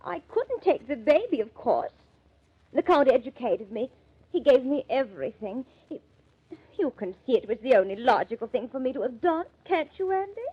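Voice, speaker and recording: A woman's voice: high voice, big pitch swings, normal speed, slightly rough, heavily acted. An actress playing a nervous, self-justifying social climber, pleading her way through 1950s radio melodrama. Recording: muffled old radio recording.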